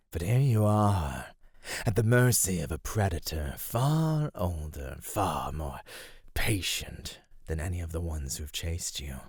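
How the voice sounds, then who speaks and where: A man playing an ancient underground monster in an ASMR roleplay, speaking in a measured, menacing, teasing way with formal wording. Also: low-pitched, a little mellow, breathy edge, dry recording, studio quality, exaggerated voice. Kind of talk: ASMR roleplay, slow intimate scripted acting.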